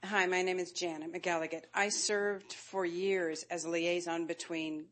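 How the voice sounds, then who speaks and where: A woman's voice: on the low side, steady tone, measured pace, a little hiss or hum. A woman in the audience at a panel event, asking a long, winding question in a friendly way over a handheld microphone.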